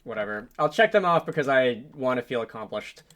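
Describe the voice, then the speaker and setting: A man's voice: mid-range voice, expressive, fairly quick, a bit bright. A man hosting a game development tutorial, talking casually and unscripted, musing to himself as he works. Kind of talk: casual unscripted screen-recording tutorial, thinking aloud.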